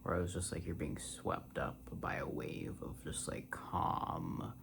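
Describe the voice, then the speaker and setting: A man in his twenties rambling casually in a soft-spoken ASMR style, drifting between a breathy murmur and a half-whisper. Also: deep voice, sing-song, measured pace. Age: 20s. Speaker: ASMR creator.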